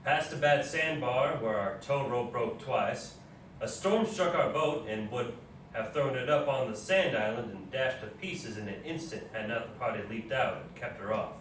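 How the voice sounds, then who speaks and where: A man's voice: medium pitch, moderate pace, fairly bright tone, close to an everyday voice. A man playing William Clark, a practical army officer and explorer in his thirties, reading journal entries aloud in a stage reading. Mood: matter-of-fact. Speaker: local stage actor. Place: live readers theatre performance in an echoey indoor space. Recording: very echoey room, distant mic, faint noise.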